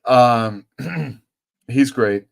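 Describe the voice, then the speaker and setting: A man in the middle of his range hosts a relaxed chat about comics. His talk is loose and chatty and often trails off mid-sentence. It sounds like a streaming microphone. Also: medium pitch, extremely slow, vocal fry. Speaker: comic shop owner and host. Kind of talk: casual chat. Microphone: streaming setup microphone.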